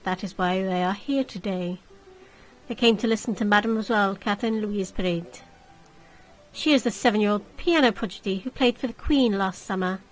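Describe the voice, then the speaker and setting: A woman voicing a suave young marquis in a gothic visual novel, speaking with measured, teasing courtesy. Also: mid-range voice, soft background music, natural voice, rough recording. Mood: mock courtesy.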